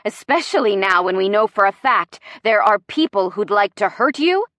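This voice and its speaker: A woman voicing a bossy but warm and protective young boyfriend, soft-spoken and wry with an intense edge. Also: a little high, animated, normal speed, creaky, no room sound. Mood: strained. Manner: soft-spoken, wry, growling when strained.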